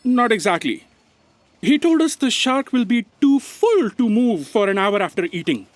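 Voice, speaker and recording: A man playing a measured, apologetic, sensible crew aide in a comic sci-fi audio drama. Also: extremely high pitch, big pitch swings, slight background noise. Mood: earnest.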